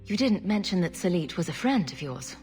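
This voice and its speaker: An actress playing a calm, diplomatic heroine in a video game, with a measured, composed delivery. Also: female voice, lowish voice, soft background music. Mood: questioning. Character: calm, diplomatic heroine, adult female champion. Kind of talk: video game dramatic acting.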